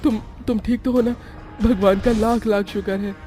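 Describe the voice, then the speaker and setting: A man's voice: high-pitched, steady tone, normal speed, mellow, faint music behind, lightly acted. A man voicing a frightened young groom who protests and is prone to stammering, in a horror-comedy cartoon. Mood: concerned. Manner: stammering when scared.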